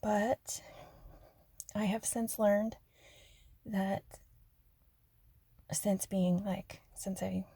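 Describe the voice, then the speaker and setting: Soft-spoken woman with a gravelly, husky hush. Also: medium pitch, little pitch movement, drawn-out words, pauses often, no room sound. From Arizona, USA. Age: adult. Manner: soft, half-whispered, self-deprecating, giggly. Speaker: ASMR creator. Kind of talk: soft-spoken rambling ASMR chat.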